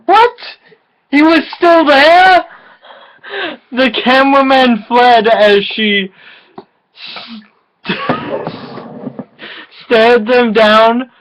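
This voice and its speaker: A tipsy woman reads a story aloud in a mock-dramatic way at a slow pace. She is giggly and unpolished, tripping over words and dropping into casual, sweary asides. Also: fairly high pitch, low-quality recording. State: drunk. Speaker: amateur co-reader of a fan story.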